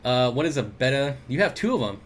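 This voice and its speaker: A man's voice: medium pitch, very animated, brisk. A man hosting an aquarium livestream, chatting casually and reading viewer comments aloud with jokey asides. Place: home room with aquariums. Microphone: desk mic at computer.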